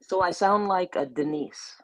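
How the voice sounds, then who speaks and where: A woman chatting as a guest, playful and quick to laugh, telling stories with animation. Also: on the low side, a bit bright, no echo, low-quality recording. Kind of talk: casual chat.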